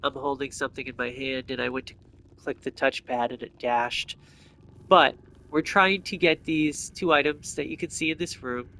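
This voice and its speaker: A man's voice: mid-range voice, fairly even intonation, normal speed, pushed and bright, low-quality recording. A man giving casual gameplay commentary, thinking aloud and explaining each step to viewers. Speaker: video game player and commentator.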